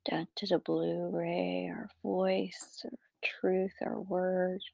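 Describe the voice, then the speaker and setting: Female meditation guide: unhurried, measured short phrases, gravelly fry with whispery endings, calm instruction. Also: fairly low pitch, dry recording.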